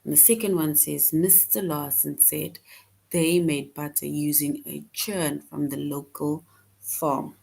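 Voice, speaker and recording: A woman with a low voice teaches a children's lesson on punctuation. She speaks slowly and patiently, reading each answer option aloud before picking the right one. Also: steady tone, creaky, darkish tone, slightly rough. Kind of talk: online grammar lesson for children.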